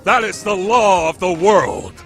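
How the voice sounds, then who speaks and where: A man's voice: high voice, measured pace, a bit bright. A man playing a grandly solemn narrator, declaiming scripted narration for an anime radio play. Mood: dramatic. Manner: dramatic, declamatory. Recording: background music under the voice.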